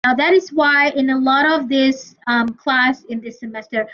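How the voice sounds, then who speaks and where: A woman's voice: sits high, moderate pace, a bit bright, muffled recording, a little hiss or hum. A woman from Malaysia with a Malaysian English accent, teaching English grammar in a recorded lesson. She explains step by step and often checks in with the class by asking "okay?". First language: not English. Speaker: university English lecturer.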